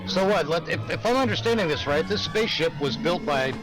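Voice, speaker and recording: Quick, deadpan male comedy commentator, slightly high voice, music behind. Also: rough recording. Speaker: comedy commentator on old radio shows. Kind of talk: joking riff commentary.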